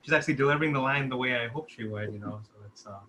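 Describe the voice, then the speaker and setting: A man's voice: medium pitch, rapid-fire, bright, forceful tone. A man talking casually in a group discussion as a filmmaker, explaining his own work. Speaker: filmmaker discussing his short script at a table read.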